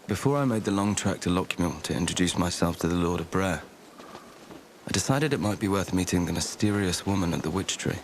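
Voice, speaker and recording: A grim warrior tells his own tale in a very low, gravelly voice. He is weary and brooding, measured like scripted fantasy game narration, over faint background noise.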